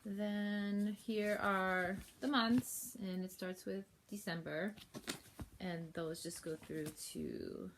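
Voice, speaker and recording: A woman with a cold, sniffly, chatting casually through a planner unboxing at home while filming on her phone, rambling with fillers and soft laughs. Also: mid-range voice, steady tone, slow pace, background noise. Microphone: phone held while filming.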